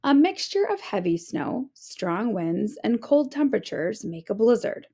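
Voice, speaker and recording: A woman reading an informational children's book aloud like a teacher, with clear, deliberate phrasing. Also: fairly high pitch, very animated, dark tone.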